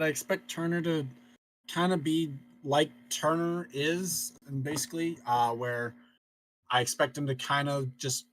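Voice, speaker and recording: Young male analyst, a bit higher pitched, casual and rambling. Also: a bit bright, no room sound, low-quality recording. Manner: loose, chatty analysis with frequent fillers. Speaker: color commentator. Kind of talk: online game commentary.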